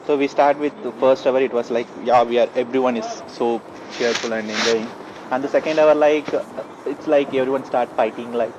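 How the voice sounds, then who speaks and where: A young man, a student, recounting a team project in halting English. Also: medium pitch, highs cut off, noisy background. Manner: halting, non-native phrasing. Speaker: master's student. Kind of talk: interview, recounting.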